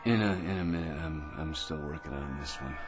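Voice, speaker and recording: A man's voice: a bass voice, brisk, music in the background. An actor playing a boastful, chattering office crank in a radio drama, self-important and prone to giggling at his own remarks. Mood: opinionated.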